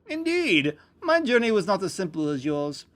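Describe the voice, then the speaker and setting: A man's voice: high-pitched, sing-song, moderate pace, a bit bright. A man voicing a vain, boastful knight in an amateur fantasy story reading, with haughty, formal speech. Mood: airy, smug. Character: pompous, boastful male knight, theatrical and vain.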